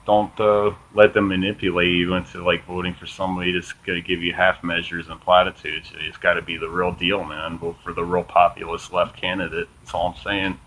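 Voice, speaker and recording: A man hosting a small political commentary channel, reading from an article and chatting casually, with a flat monotone delivery and lots of ums and uhs. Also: sits low, fairly quick, hardly any pauses, a little hiss or hum, poor audio. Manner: swears casually, says "man" often.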